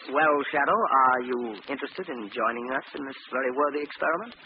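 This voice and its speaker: A man's voice: medium pitch, lightly acted. A man acting a scheming, persuasive scientist who speaks formally and precisely in a 1940s radio drama. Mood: instructing. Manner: formal, measured, instructing. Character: smooth-talking, scheming male scientist, controlling and persuasive. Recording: muffled, noisy old radio broadcast.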